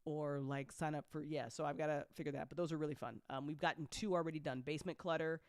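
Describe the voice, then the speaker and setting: A woman host chatting casually and unscripted to her listeners, candid and excitable, with emphatic repetition, slang and plenty of swearing. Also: sits low, fast talker, smooth, no room sound, clean studio sound. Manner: excitable, emphatic with repeated phrases. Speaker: host and audio drama writer-producer.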